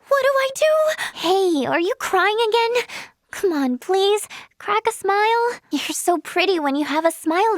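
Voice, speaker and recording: High, girlish, bubbly young healer. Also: no room sound, studio quality. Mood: concerned, encouraging. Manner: bubbly and girlish, stammering when flustered. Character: cheerful, earnest, easily flustered young female healer. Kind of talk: animated video game voice acting.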